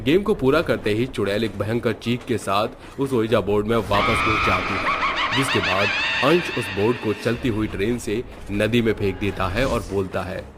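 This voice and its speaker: A man's voice: lowish voice, moderate pace, noisy background. A man delivering dramatic scripted narration for a cartoon horror story.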